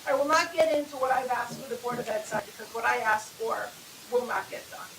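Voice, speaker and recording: A woman's voice: a little high, a little fast. A woman speaking as first selectwoman at a town budget meeting, losing her voice, blunt and combative, stumbling a little over figures. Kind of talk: public comment at a budget meeting. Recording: echoey room, distant mic, noisy.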